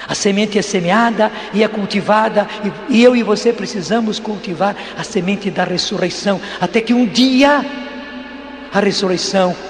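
A Brazilian man preaches in a deliberate way. His high voice carries long reverb, with faint background noise. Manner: deliberate, repeats phrases. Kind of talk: preaching and testimony to listeners.

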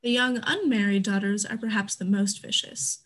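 A woman playing a worldly, defiant brothel madam, measured and articulate in a dramatic monologue. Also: medium pitch, steady tone, a bit bright, close to an everyday voice. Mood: wary, defiant. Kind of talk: staged reading of a dramatic monologue. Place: indoors at home on a video call.

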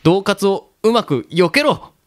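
Lively young man co-hosting. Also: high voice, measured pace. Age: young adult. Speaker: radio show co-host. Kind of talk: reading out comic game pitches. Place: small indoor studio room. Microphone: stand microphone with headphones.

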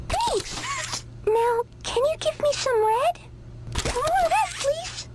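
A woman's voice: very high-pitched, big pitch swings, takes it slowly, exaggerated voice. A woman voicing a dainty, needy and demanding cartoon unicorn toy. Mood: sweet, needy. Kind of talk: cartoon toy character voice lines. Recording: very noisy recording with clicks.

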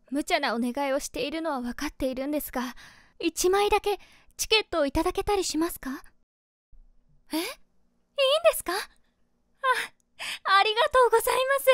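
A woman playing a caring young female streamer who is secretly smitten, musing gently in a feminine way in a voice drama. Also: high-pitched, no room sound, clean studio sound. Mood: polite, pleading. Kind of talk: Japanese voice drama monologue.

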